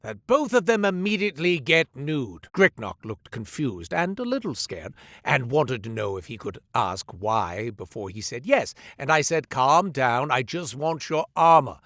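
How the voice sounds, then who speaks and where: An actor playing a sly, smooth-talking male merchant who spins tales while selling gear, in a game. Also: mid-range voice, big pitch swings, unhurried, few pauses, a bit bright, no echo, studio quality. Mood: salesy. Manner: theatrical, salesman patter, wry. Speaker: shopkeeper narrating a tale. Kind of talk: studio game voice lines. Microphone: close studio mic.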